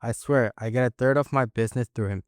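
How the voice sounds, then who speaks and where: A man voicing a friendly, loud charter boat owner who talks in an easygoing, chatty way. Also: mid-range voice, quick pace, clear, resonant tone, no room sound, studio quality, close to an everyday voice. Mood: upbeat.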